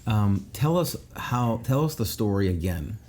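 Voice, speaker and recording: A man's voice: fairly low pitch, animated, unhurried, a little mellow. A man hosting a podcast, asking a guest questions at a restaurant in a conversational way. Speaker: podcast host. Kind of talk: interviewing.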